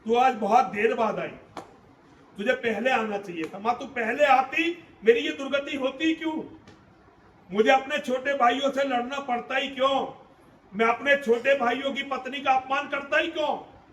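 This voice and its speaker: A man's voice: very high voice, normal speed, fairly bright tone, slight background noise. A man playing a furious wronged warrior son. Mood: reproachful. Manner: accusing, rhetorical repetition. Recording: roomy hall, distant mic.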